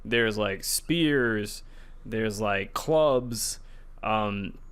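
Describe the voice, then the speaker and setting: A man's voice: on the low side, drawn-out words, fairly bright tone, clean tone. A man co-hosting a podcast, chatting casually in a hesitant, loosely rambling way. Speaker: podcast co-host. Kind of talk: casual chat about video games.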